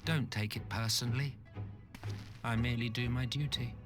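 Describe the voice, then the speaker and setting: An actor playing an ancient, world-weary sorcerer schemer in a video game, with a theatrical, drawling, condescending and mocking delivery. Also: male voice, medium pitch, steady tone, moderate pace, close to an everyday voice. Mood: smug, theatrical. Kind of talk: video game villain acting. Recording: orchestral game music behind.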